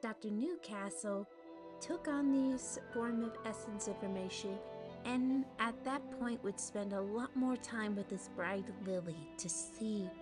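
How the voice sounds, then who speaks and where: A woman narrating a fantasy audio drama in a storybook manner, now and then stopping to puzzle over names. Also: mid-range voice.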